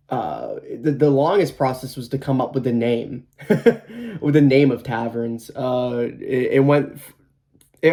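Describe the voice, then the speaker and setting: A man's voice: medium pitch, a little mellow. Casual hesitant young man in his twenties. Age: 20s. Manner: casual, hesitant with many fillers and restarts. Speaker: band guitarist. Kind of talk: documentary interview.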